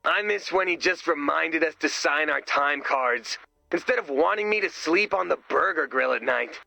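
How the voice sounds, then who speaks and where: A man voicing an excitable, earnest and nervous sidekick in a video game, with a goofy manner. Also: on the high side, moderate pace, gravelly, dry recording, full character voice, poor audio. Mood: worried.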